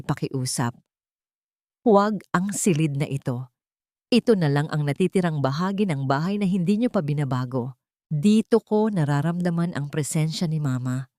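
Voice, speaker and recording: A woman storyteller plays a wronged wife with a low voice and Filipino accent. She sounds earnest and restrained in a dry, close audio-story recording. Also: warm tone, no room sound, natural voice. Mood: pleading. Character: wronged wife, pained yet quietly resolute woman.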